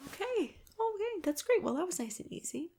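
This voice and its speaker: A woman speaking softly and often in a breathy whisper, gently and a little hesitantly, as a store clerk in an ASMR role-play. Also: very high-pitched, big pitch swings, brisk, noisy background. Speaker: host role-playing a camping store clerk. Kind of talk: ASMR role-play, soft-spoken sales help.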